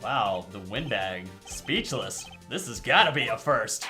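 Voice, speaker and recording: A man acts out a wisecracking young male lawyer in a courtroom game fan dub. The delivery is bright, theatrical and very animated, full of sarcasm, with music underneath. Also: fairly low pitch, measured pace, heavily acted. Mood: muttered, wry. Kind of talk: fan-dub visual novel game acting.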